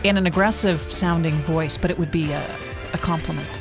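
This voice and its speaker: Woman co-host, lower pitch, playful, quick questions, radio studio, clear music bed. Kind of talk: morning radio chat.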